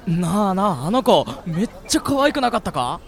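A man playing an upbeat, excitable high school boy in an amateur radio drama, talking in playful, casual slang. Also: normal speed, heavy background noise. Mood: excited, curious.

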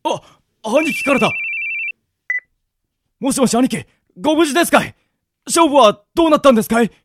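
A man's voice: very high-pitched, animated, moderate pace, a little hiss or hum. A man acting an earnest, easily flustered yakuza underling, stammering and melodramatic in a radio drama. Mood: anxious, urgent. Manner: stammering, melodramatic, rough henchman's slang.

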